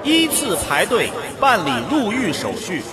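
A man's voice: very high-pitched, huge pitch swings, unhurried, a loud crowd, full character voice. An actor playing a commanding male prison announcer, giving official announcements in a radio drama. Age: 30s.

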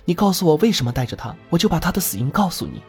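A woman's voice: medium pitch, animated, brisk, faint music behind, lightly acted. Woman playing a timid young captive, questioning. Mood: timid, bargaining. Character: frightened but probing young woman held captive.

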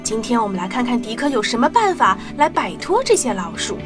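Woman storyteller, lively swooping intonation, children's narration, music underneath. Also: a little high, brisk. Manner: animated, addresses young listeners. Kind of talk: expressive scripted storytelling for children.

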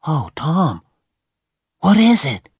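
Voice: medium pitch, big pitch swings, extremely slow, husky, no echo, clean studio sound, pitched above the performer's own voice, full character voice. A reader voicing a frightened young boy who whispers anxiously in a graveyard. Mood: scared whisper. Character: frightened boy Huck, jittery.

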